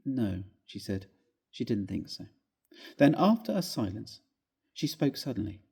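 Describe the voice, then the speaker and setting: A man gives a measured, theatrical reading of a classic ghost story, with expressive phrasing. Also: mid-range voice, gravelly, slightly rough. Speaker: story reader. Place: inside a church, live reading.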